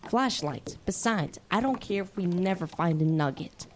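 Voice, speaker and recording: A woman's voice: fairly low pitch, lively intonation, normal speed, a little hiss or hum, lightly acted. A young female actor playing a stubborn, earnest kid adventurer in an audio drama.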